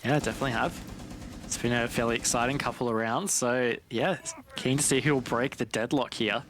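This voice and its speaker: A man's voice: medium pitch, fairly even intonation, fairly quick, fairly bright tone, slight background noise. A man giving colloquial, conversational esports commentary and analysis of live play. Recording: faint game gunfire behind voice. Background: video game gunfire.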